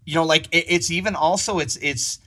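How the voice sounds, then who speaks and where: A man's voice: fairly high pitch, steady tone, fairly quick, sharp tone. A man hosting a casual interview, talking in a rambling way with many restarts and laughs. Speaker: podcast host.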